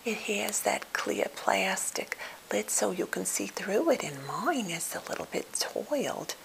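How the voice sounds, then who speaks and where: A woman playing a timid, slightly odd captive who is eager to please, speaking in a halting, gentle, polite whisper for an ASMR role play. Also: mid-range voice, very animated, heavily acted, rough recording. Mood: soothing, fussy. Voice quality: breathy whisper with fry.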